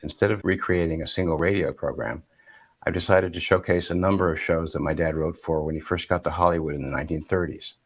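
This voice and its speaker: Low, gravelly older male host. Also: fairly even intonation, fast. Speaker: host and producer. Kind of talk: scripted introduction.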